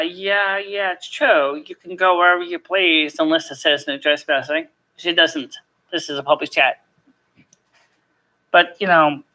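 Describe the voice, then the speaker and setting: A streamer with a mid-pitched voice speaks casually to an online audience. The delivery is earnest and rambling, with halting stretches while telling personal stories. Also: pushed and bright, low-quality recording. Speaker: live stream host. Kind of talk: casual chat to a live chat audience, personal storytelling.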